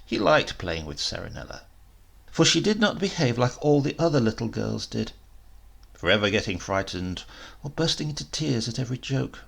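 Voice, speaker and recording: Expressive male storyteller with a gravelly edge. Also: mid-range voice, normal speed. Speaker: story reader. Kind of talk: expressive literary story narration.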